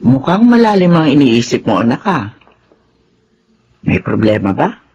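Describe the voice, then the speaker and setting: A woman with a Filipino accent playing a gentle, knowing middle-aged mother in a radio drama. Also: extremely low pitch, sing-song, unhurried, dull audio, faint music behind, full character voice. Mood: teasing concern. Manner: coaxing, reflective. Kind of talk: Tagalog radio drama acting.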